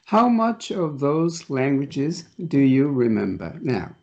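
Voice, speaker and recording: Slow, gravelly male interviewer, muffled audio. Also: mid-range voice, sing-song, a little mellow. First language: Japanese. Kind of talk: asking interview questions.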